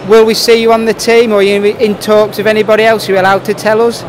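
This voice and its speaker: A man's voice: high-pitched, level tone, fast. A man asking questions as an interviewer in a casual, chatty style. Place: indoor trade show. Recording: noisy trade show hall, background ambience.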